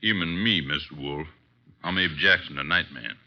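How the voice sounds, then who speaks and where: A man playing a gruff, plain-spoken working-class night man in a vintage radio mystery, with terse delivery. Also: low voice, normal speed, lightly acted. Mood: gruff, reluctant. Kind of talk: 1940s-50s radio mystery acting. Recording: dull vintage radio recording.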